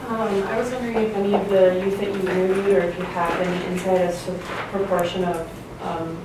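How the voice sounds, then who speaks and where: Through loud noise and heavy echo, a woman asks a question from the audience. Her delivery is flat and creaky. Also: mid-range voice, fairly quick. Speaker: audience member asking a question. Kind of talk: careful informal question. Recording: very noisy, echoey, distant room.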